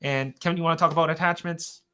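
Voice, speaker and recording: Guy presenting software updates on a webinar, sharp bright voice, kinda rambling and earnest. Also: a little high, steady tone, fast, slight background noise. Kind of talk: informal product presentation.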